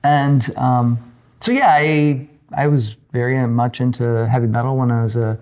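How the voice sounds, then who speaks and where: Male podcast guest, about 60, informal and halting, telling stories in a loose conversational style. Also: medium pitch, darkish tone. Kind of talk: casual interview chat, personal anecdotes.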